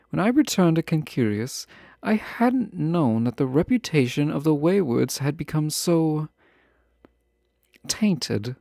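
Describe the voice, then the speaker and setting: A man playing a refined, guarded yet fond fantasy ruler in a romantic audio roleplay, speaking in formal, measured phrases with teasing asides. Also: a little high, lively intonation, gravelly, dark tone, no room sound, studio quality, full character voice. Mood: earnest, guarded.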